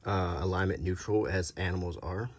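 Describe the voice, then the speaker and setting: A middle-aged man doing a casual, unscripted show-and-tell as a video host, talking in a halting, rambling, conversational way. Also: low voice, a little hiss or hum. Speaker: YouTube host showing vintage tabletop game monster cards.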